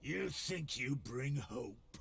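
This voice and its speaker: An actor voicing a fierce, proud, battle-hardened hunter chief in a video game, gravelly and defiant. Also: male voice, mid-range voice, expressive, slow pace, a bit bright, exaggerated voice. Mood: grim. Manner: defiant, declamatory.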